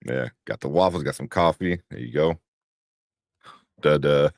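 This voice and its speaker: A man with a very deep voice co-hosting a casual livestream show, laid-back and chuckling, rambling with fillers. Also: a little slow, dry recording, studio quality. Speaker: co-host.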